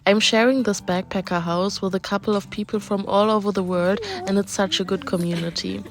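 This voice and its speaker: A woman's voice: mid-range voice, fairly even intonation, fairly quick, soft background music. A young German woman with a German accent, hosting and narrating a casual interview with housemates in a friendly, chatty way.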